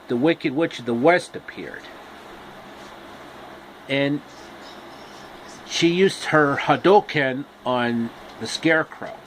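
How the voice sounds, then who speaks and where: A man narrating a comic story casually and haltingly, pausing mid-sentence. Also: medium pitch, fairly bright tone, slight background noise, poor audio.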